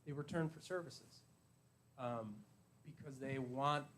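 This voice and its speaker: A man speaking haltingly, with many fillers, as an addiction treatment provider at a county meeting. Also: medium pitch, fairly bright tone, a little hiss or hum. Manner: halting, filler-heavy explanation. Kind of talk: public meeting testimony.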